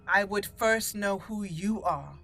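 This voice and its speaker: A woman's voice: mid-range voice, slow pace, pushed and bright, soft background music, heavily acted. Woman playing a stately young elf mage lady. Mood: formal. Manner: formal, stately phrasing.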